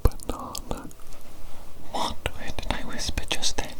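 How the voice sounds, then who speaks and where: A woman voicing an evil, doting mad doctor in a breathy ASMR whisper, with formal, repetitive phrasing. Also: natural voice. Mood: pleased, instructive. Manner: slow, repetitive, formal old-fashioned phrasing. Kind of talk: whispered ASMR medical roleplay.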